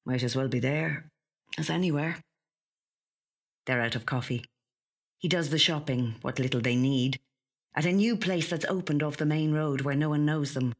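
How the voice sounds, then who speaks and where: An actor with a slightly husky, breathy voice reading a literary short story in a measured, hushed way. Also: medium pitch. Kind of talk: scripted literary narration.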